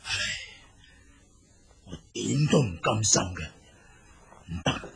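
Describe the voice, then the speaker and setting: A man voicing an old yellow dragon in a Cantonese storybook drama, aggrieved and defiant, with a gravelly, husky voice. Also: fairly low pitch, lively intonation, slow pace, a little hiss or hum, full character voice. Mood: weary, frustrated. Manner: formal pleading and indignant muttering.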